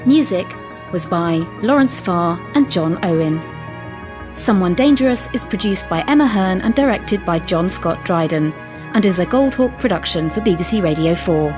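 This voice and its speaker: Casting: woman continuity reader, scripted credits, somewhat low pitch, over prominent music. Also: lively intonation, normal speed. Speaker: closing credits announcer. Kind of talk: scripted broadcast announcement.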